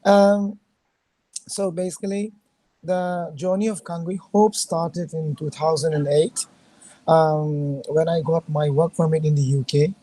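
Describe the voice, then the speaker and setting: Hoarse woman from Manipur on a call. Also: deep voice, fairly even intonation, warm tone, poor audio. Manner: halting, earnest, many fillers. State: hoarse, losing her voice. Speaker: guest, charity founder. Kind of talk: casual video call conversation.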